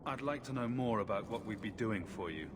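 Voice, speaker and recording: An actor playing a calm, level-headed young adventurer in a video game, composed and direct. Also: male voice, on the low side, fairly quick, natural voice. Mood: composed, inquiring. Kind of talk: video game cutscene acting. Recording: clear background ambience noise.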